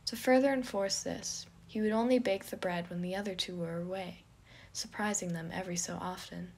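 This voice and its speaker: A woman's voice: fairly low pitch, steady tone, moderate pace, gravelly, husky. A woman reading scripted fiction as the narrator of an audio story.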